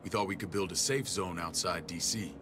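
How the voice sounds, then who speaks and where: An actor playing a gruff, commanding military man and protective older brother, blunt and profane, in a cinematic video game. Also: sits low, brisk, background noise, lightly acted. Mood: earnest, regretful. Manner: blunt, profane, clipped orders. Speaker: security chief of a survivor settlement.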